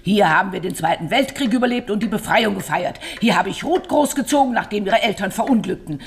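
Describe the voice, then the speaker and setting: An actress playing a stern, scolding elderly aunt in a German radio crime drama. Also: female voice, medium pitch, expressive, fairly quick, creaky. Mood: indignant. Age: older. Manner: scolding, incredulous, matriarchal. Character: stern, indignant elderly aunt who raised her niece.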